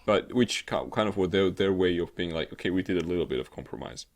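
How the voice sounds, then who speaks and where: A man's voice: low-pitched, animated, fast talker. A man co-hosting a comedic video game podcast, chatting casually in a rambling, sweary way. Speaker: podcast co-host. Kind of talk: casual comedic chat about video games.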